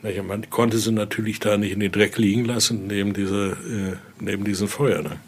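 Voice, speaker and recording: A man giving an informal, halting explanation as a guide, often ending phrases with little questioning tags. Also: on the low side, fairly even intonation, breathy edge. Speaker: interviewee, exhibit guide and Voodoo expert. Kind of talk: informal spoken explanation during a guided tour.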